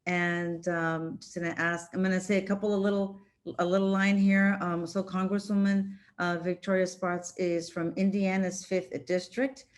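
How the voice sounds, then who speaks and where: A woman's voice: on the low side, flat delivery, normal speed. Hesitant woman moderator with many ums. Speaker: conference moderator. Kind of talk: introducing a speaker.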